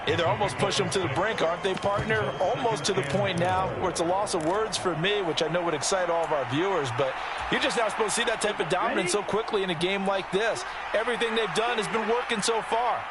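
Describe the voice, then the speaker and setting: An actor playing a chatty, opinionated football analyst in a video game, with an American accent and a folksy, explanatory way of talking. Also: male voice, high-pitched, fast talker, heavy background noise, lightly acted. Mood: analytical, approving. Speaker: color commentator.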